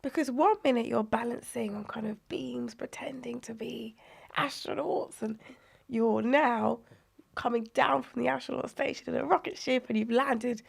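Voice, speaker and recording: Croaky, unwell woman. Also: on the high side. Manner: animated storytelling, drops to breathy near-whispers. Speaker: guest, inclusive play advocate. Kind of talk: conversational podcast interview.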